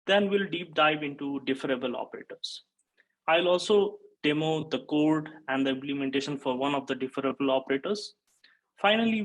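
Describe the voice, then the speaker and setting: A man's voice: medium pitch, low-quality recording. Man from Nepal giving a tech talk. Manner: steady, explanatory, frequent "uh" fillers. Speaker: conference speaker, software engineer. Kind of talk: recorded technical conference talk. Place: indoor home or office room. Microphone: webcam-style video call framing.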